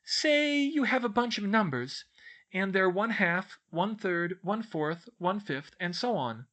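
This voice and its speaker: Seeking a man to play a smug, clever schoolgirl in a storybook, high and lilting, explaining things matter-of-factly. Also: lively intonation, fairly bright tone, full character voice. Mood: brisk, explanatory. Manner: brisk, explanatory, matter-of-fact. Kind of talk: audiobook character voice.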